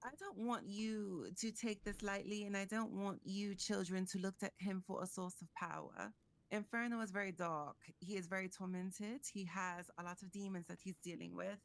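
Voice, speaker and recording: A woman playing a reluctant, cautioning counselor of a fantasy realm in improvised online roleplay, with a creaky voice. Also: mid-range voice, steady tone, moderate pace, close to an everyday voice.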